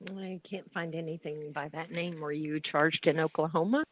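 A woman's voice: sits low, fairly even intonation, moderate pace. A woman working as a law office receptionist, talking politely and a little hesitantly with a caller. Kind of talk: phone conversation with a caller. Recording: muffled narrow telephone line.

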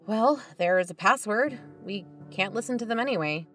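A wry, skeptical amateur sleuth played by a woman, speaking at middle pitch. Music is clearly heard behind the conversational audio drama dialogue. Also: expressive, moderate pace, a bit bright, close to an everyday voice. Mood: dry, skeptical. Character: dry, skeptical female amateur investigator.

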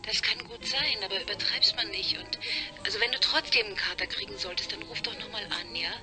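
A woman's voice: mid-range voice, fairly quick, over music, lightly acted. An actress playing a caring, teasing woman from a mission team in a radio play.

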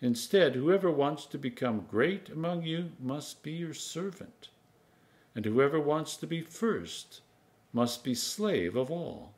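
A man in his sixties, an Anglican priest, reading scripture aloud in a measured, solemn, emphatic manner. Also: fairly high pitch, expressive, darkish tone. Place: home kitchen, indoors.

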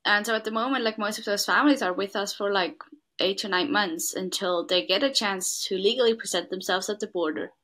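A woman's voice: mid-range voice, fairly quick, fairly bright tone. A young woman from Switzerland, talking earnestly as an interview guest and saying "like" often. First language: Swiss German. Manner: earnest, says "like" a lot. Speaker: guest, works at a refugee school. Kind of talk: casual interview talk.

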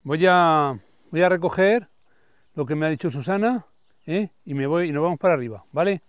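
A man's voice: a little high, normal speed, clean tone. A man, chatting casually in a folksy, repetitive way outdoors. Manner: folksy, repeats himself. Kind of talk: casual chat. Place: outdoors in a village and vegetable garden.

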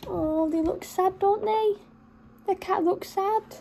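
Young woman, very high voice, slow pace, expressive nursery storytime reading, child-directed. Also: creaky, a little hiss or hum. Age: 20s. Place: small furnished room at home, indoors.

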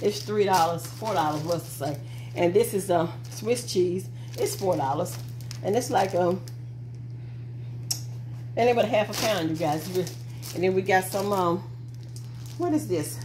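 Chatty low-voiced woman vlogging in a car. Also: a little slow, pauses often. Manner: chatty, rambling, talks to viewers. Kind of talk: casual vlog chat. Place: in a car, then at a kitchen table. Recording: noisy car recording.

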